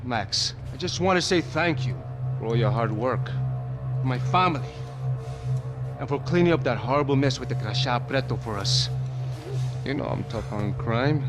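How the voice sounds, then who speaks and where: An actor playing a smug, oily, corrupt politician in a video game, speaking with condescending mock politeness. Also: male voice, mid-range voice, normal speed, pauses often, close to an everyday voice. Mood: gloating. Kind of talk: video game cutscene acting. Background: music.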